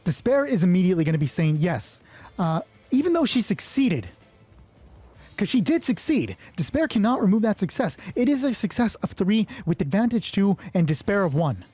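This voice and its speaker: A man improvising a solo tabletop roleplay as game master, excited, thinking aloud and swearing freely. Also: high voice, normal speed, breathy edge, no echo, soft background music. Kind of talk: improvised tabletop game narration with dice talk.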